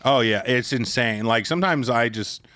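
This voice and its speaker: A man's voice: medium pitch, normal speed, pushed and bright, no room sound. A man co-hosting a podcast, chatting casually and cracking goofy jokes. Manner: jokey, playful, goofy. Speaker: podcast co-host. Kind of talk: casual chat.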